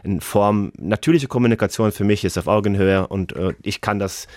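A man's voice: fairly low pitch, moderate pace, darkish tone, dry recording, clean studio sound. A man with a Norwegian accent, a German-speaking guest on a radio interview, talking earnestly with many hesitations. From Norway.

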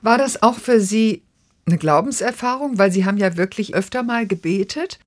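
A woman's voice: mid-range voice, normal speed, no room sound, studio quality. A woman interviewer asking questions and summarizing her guest's story in an attentive, prompting way.

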